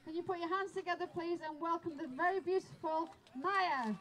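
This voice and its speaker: A woman's voice: very high voice, brisk, very noisy. A woman hosting an outdoor open mic at a pub garden, enthusiastically introducing the next act to the crowd. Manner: warm, building up excitement. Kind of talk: stage introduction.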